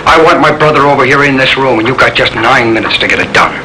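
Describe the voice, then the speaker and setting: An actor playing a menacing, impatient bomber who barks orders in a 1950s crime radio drama. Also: male voice, medium pitch, fast, noisy background, close to an everyday voice. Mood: impatient, threatening. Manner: tough, bossy, barking orders. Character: menacing, impatient male bomber holding police hostage. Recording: old radio recording with music behind.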